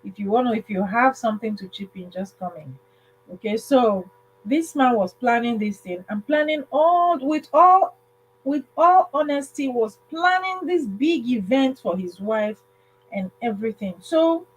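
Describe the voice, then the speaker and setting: A woman hosting a livestream, casually telling a story in a halting way, repeating phrases and checking in with listeners. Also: sits high, rough recording. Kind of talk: casual spoken storytelling with advice.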